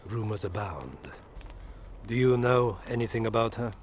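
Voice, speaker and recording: An actor playing a suave, middle-aged imperial ambassador in a video game, formal, measured and insinuating. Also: male voice, fairly low pitch, a little hiss or hum, natural voice. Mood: formal, guarded. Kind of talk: video game dialogue acting. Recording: music behind the voice.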